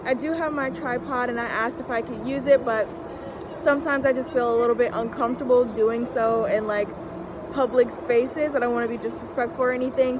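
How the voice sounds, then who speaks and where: A woman's voice: fairly high pitch, background noise. A young woman talking casually to the camera on a solo trip. She is chatty and enthusiastic, with a lot of ums and likes. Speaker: travel vlogger. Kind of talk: casual travel vlog to camera. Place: indoors in a hotel room and a large mall, phone held close. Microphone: handheld camera at arm's length.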